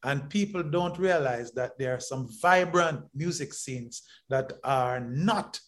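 A man's voice: mid-range voice, slow pace. A man hosting a podcast, eagerly asking long, roundabout questions and often repeating words. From Trinidad and Tobago. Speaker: podcast host. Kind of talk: casual interview chat.

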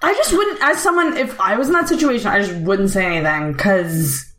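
A woman interviewing casually, supportive and quick with short agreeing replies. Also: mid-range voice, fairly bright tone. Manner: supportive listener, many short backchannels. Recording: echoey room with faint noise.

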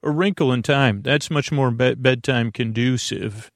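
A man hosting a sleep podcast, with a gravelly voice and a halting, meandering delivery that stammers, repeats words and trails off. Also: medium pitch, no room sound, studio quality. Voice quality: gravelly, creaky. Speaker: host of a bedtime sleep podcast. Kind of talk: rambling bedtime monologue.

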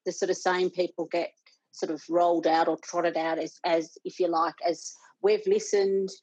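Australian woman, mid-life interview guest, thoughtful, slightly lower voice, dry muffled recording. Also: level tone, normal speed, a little mellow, no echo. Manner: earnest, thoughtful, many restarts. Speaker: guest, parent and board member. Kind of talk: conversational interview.

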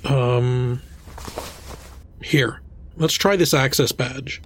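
A man's voice: mid-range voice, steady tone, drawn-out words, slight background noise. A man acting an earnest, cautious searcher in an audio drama, speaking hesitantly, with music under the voice. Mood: searching, tentative.

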